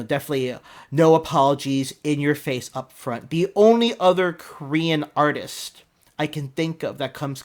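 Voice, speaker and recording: A man hosting a music video reaction, talking casually with lots of ums, restarts and trailing asides. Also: mid-range voice. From United States. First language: English. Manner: rambling, many ums and restarts.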